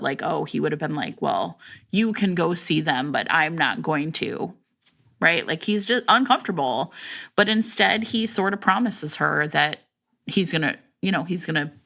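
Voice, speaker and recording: Woman, podcast co-host, gravelly voice, bright tone, chatty, loose casual talk. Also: fairly low pitch, animated, moderate pace. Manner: chatty, heavy use of "like" and "right?". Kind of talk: casual book discussion.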